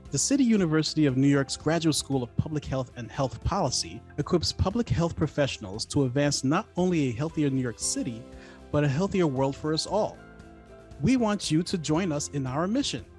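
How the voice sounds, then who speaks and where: A man reading a scripted promotional ad as announcer, in a smooth, polished delivery. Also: on the high side, a little mellow. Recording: voice-over with faint music behind.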